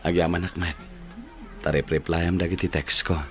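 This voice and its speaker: A man's voice: sits low, little pitch movement, normal speed, fairly bright tone. An actor with an Ilocano accent playing an earnest, apologetic young man in a radio drama. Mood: remorseful, grateful. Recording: music bed under the dialogue.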